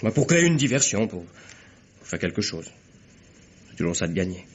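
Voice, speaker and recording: An actor playing an evasive, hesitant, self-justifying colleague from in a vintage radio mystery. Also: male voice, lowish voice, very animated, normal speed, husky. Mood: defensive, uneasy. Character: shifty, anxious, self-justifying male colleague. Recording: dull, lo-fi old radio recording.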